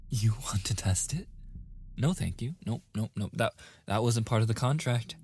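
A man playing a flustered, submissive young boyfriend in a soft-spoken ASMR roleplay, stammering and sighing, often slipping into whispers. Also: lowish voice, exaggerated voice. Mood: incredulous. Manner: stammering, sighing, breathy asides, protesting. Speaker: audio roleplay voice performer.

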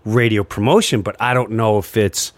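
A man hosting a podcast in casual chat, telling stories in an animated way and acting out what others said. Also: on the low side, moderate pace, no room sound. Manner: animated storyteller, acts out quoted remarks. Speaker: podcast host and comedian.

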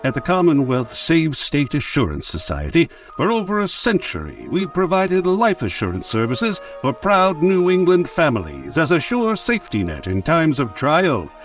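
An actor playing a pompous insurance pitchman, selling grandly in the style of a 1940s radio advertisement. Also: huge pitch swings, normal speed, hardly any pauses, recorded from a distance, faint music behind. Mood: earnest selling. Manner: grandiose salesmanship.

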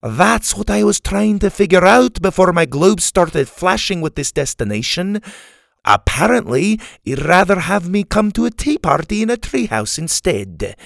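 Storybook role: crotchety old Scotsman acted by a man, sardonic and emphatic, higher register, animated delivery. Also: moderate pace, vocal fry, no echo, studio quality, full character voice. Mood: scolding, sarcastic. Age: older.

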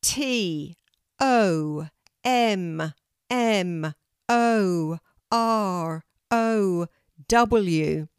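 A woman's voice: fairly low pitch, animated, measured pace, darkish tone, no room sound, clean studio sound. A woman voicing an eager, earnest young girl in a children's storybook, careful and a little unsure. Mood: careful, loud.

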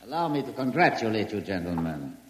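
Raspy, pompous mad doctor. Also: male voice, lowish voice, sing-song, fairly quick. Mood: gloating, lecturing. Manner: pompous, formal, theatrical. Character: mad male German doctor posing as deranged count, gloating, grandiose. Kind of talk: old-time radio drama acting.

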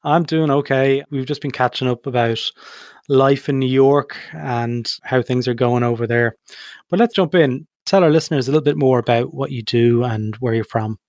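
A man hosting a design podcast, introducing the episode and interviewing his guest in a relaxed conversational way. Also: medium pitch, normal speed, no room sound, studio quality. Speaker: podcast host.

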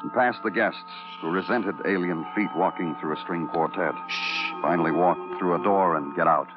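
A man with an American accent playing a hard-nosed, wry New York police detective in an old-time radio crime drama, terse and deadpan. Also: sits low, normal speed, lightly acted. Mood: wry, brooding. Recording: muffled vintage radio recording, music behind.

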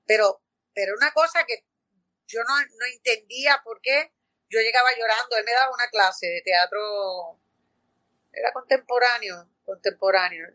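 A woman's voice: a little high, normal speed, no room sound, poor audio. A Puerto Rican woman, an actress, telling stories in an interview with lots of fillers and restarts. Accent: Puerto Rican Spanish. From Puerto Rico. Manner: animated storytelling with many fillers and restarts. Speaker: actress guest. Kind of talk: conversational interview.

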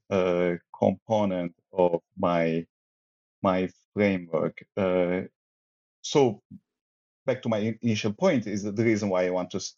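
Man, Turkish accent, very deep voice, academic guest, halting speech, many fillers, dull lo-fi sound. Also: dark tone. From Turkey. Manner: hesitant, many restarts and fillers. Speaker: guest author, sociologist. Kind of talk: academic interview.

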